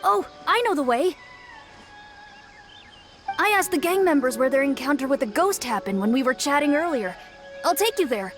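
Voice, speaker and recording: A woman's voice: high voice, lively intonation, normal speed, long pauses, over music. A woman voicing an eager, helpful young adventuring companion in a video game, chirpy and earnest.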